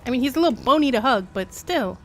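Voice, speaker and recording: A woman's voice: high voice, expressive, quick pace, fairly bright tone, slight background noise. A woman giving casual, playful live commentary as a game streamer, with wry asides.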